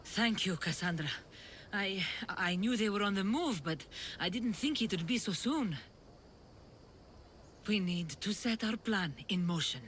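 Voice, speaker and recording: An actress playing an earnest older mother and refugee leader in a video game, measured and wistful. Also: mid-range voice, fairly bright tone, slight background noise, natural voice. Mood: earnest, concerned. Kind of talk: video game cutscene acting.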